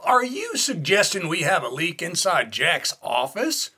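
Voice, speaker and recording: An actor playing a blustery, skeptical American colonel with a raspy voice in a Cold War radio play. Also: male voice, sits high, sing-song, a little slow, bright, forceful tone, exaggerated voice. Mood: gruff, doubtful.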